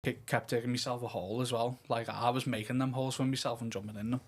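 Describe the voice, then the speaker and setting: A man from Liverpool speaking as a podcast guest, candid and informal, a little halting as he talks about himself. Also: medium pitch, little pitch movement. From Liverpool, England. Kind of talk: casual personal chat.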